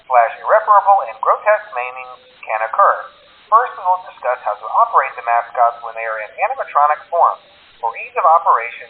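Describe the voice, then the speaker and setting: An actor playing an awkward, upbeat employee trainer reading corporate safety instructions in a blandly matter-of-fact, hesitant way through a telephone filter. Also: male voice, a little high. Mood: cheerfully matter-of-fact. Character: awkward, upbeat male restaurant training-tape narrator. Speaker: employee trainer on recorded company messages. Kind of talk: scripted instructional phone message. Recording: tinny narrowband phone line.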